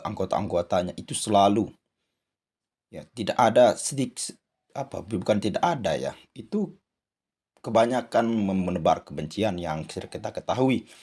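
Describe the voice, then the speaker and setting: A man hosting his channel, giving a spoken commentary with a hoarse voice and many hesitant fillers. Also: deep voice, a little mellow. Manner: addresses viewers as "saudara sekalian", frequent "eh" fillers. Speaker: channel host. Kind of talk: commentary monologue.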